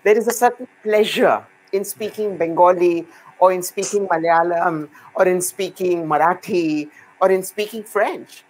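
A middle-aged woman with an Indian accent and a somewhat low voice. She speaks fluently, confidently and with emphasis. The sound comes through a webcam on a video call. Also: moderate pace, darkish tone, a little hiss or hum, rough recording. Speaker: guest dancer. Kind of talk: video call panel talk. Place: indoor room. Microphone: laptop webcam on a video call.

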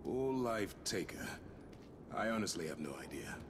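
An actor playing a dry, sardonic soldier-adventurer in a video game, musing aloud with understated delivery. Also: male voice, low-pitched, sing-song, normal speed, noisy background. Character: dry, sardonic male soldier-adventurer examining strange devices.

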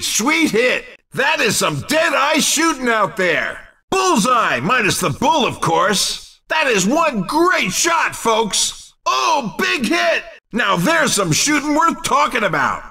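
A man's voice: extremely high pitch, sharp tone, recorded from a distance, heavily acted. An actor playing an exuberant, cheeky male race commentator in a video game, calling the action in punchy, wisecracking exclamations. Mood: thrilled.

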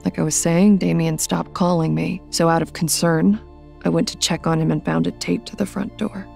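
A middle-aged woman playing a bitter, guarded widowed mother in an audio drama, curt and sardonic, with a gravelly voice. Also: lowish voice, steady tone, moderate pace, dark tone, soft background music.

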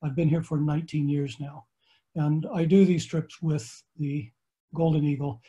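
An older man with an American accent talks through a slideshow from his book-lined study. His voice sits fairly high for a man yet sounds mellow and warm, and he explains things at an even, friendly pace. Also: normal speed. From United States. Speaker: astronomer and tour lecturer. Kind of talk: online slideshow lecture. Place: home study with bookshelves.

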